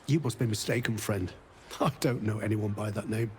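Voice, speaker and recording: A man's voice: on the low side, moderate pace, slight background noise, lightly acted. An actor playing an amiable, befuddled man with lost memories in a video game, rambling and trailing off wistfully. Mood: confused. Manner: rambling, absent-minded, trailing off.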